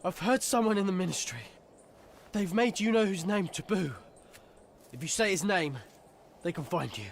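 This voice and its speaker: A man's voice: high-pitched, moderate pace, gravelly, a little hiss or hum. An actor playing a wary, grumbling teenage boy wizard who is hurt and in pain. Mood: alarmed, hushed.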